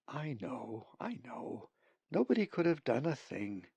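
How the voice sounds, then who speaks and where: A man voicing a kindly, motherly female raven in a storybook, soft-spoken and sympathetic. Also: mid-range voice, big pitch swings, a little slow, gravelly, breathy edge, dry recording, voice pushed up, exaggerated voice. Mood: soothing, sympathetic. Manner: soothing, softly spoken.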